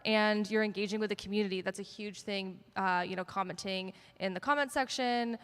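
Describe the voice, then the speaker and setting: A woman's voice: mid-range voice, fairly even intonation, fairly quick, fairly bright tone, clear, resonant tone. A woman speaking casually on a conference panel, with many filler ums. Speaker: panelist, social media sports partnerships. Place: conference stage. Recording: long reverb of a conference hall.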